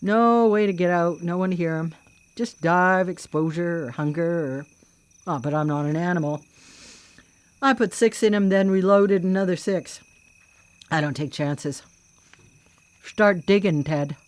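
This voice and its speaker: A woman's voice: on the low side, a little slow, long pauses, no room sound, natural voice. An actress in a live radio drama playing a vengeful female vigilante killer, mocking, cocky and coolly menacing. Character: vengeful, taunting female vigilante killer who hunts predators.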